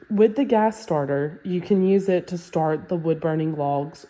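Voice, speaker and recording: A woman with a stuffy, nasal voice from a sinus infection, casually walking through a house as a real estate agent. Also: fairly low pitch. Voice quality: nasal, congested. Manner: relaxed and chatty, with fillers like "um" and "like". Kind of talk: casual home walkthrough tour.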